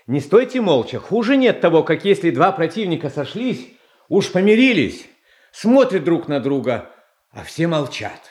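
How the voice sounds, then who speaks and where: An actor playing a gentle, childlike young tsar in a radio tragedy, halting and earnest, often repeating himself. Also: male voice, fairly high pitch, big pitch swings. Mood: eager, fussy. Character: gentle, anxious, kind-hearted young tsar, childlike and pleading. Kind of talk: Russian stage tragedy as radio play.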